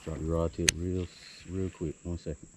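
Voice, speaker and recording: A man's voice: low voice, flat delivery, fairly quick. A man chats casually as a fishing companion on a boat, picked up by his partner's action camera. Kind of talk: casual chat while fishing. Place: outdoors on a small boat on a river. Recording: noisy outdoor boat audio.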